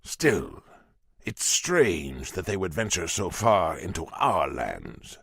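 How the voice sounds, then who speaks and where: An old orc sage from a fantasy game, lowish in pitch with lively swings. He is scholarly and thoughtful, a calm mentor recorded close and dry. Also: slow pace, creaky, breathy edge, no echo, exaggerated voice. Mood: firm, cautious. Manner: thoughtful, expressive, explanatory. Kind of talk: video game voice acting.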